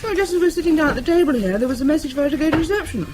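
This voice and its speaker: An actress playing a quick-witted, teasing British wife in a radio drama, arch and composed with a British accent. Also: female voice, high-pitched, expressive, over music.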